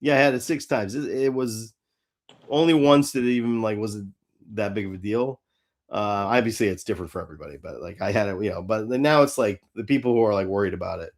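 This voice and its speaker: A man co-hosting a podcast in casual fan chat, halting and interrupting himself, with some mild swearing. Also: lowish voice. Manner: halting, self-interrupting, mildly profane. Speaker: podcast co-host.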